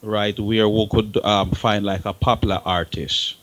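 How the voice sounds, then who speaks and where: Low-pitched man speaking haltingly in discussion. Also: fairly even intonation, a bit bright. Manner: halting, repeats words and restarts phrases. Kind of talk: talk-show discussion.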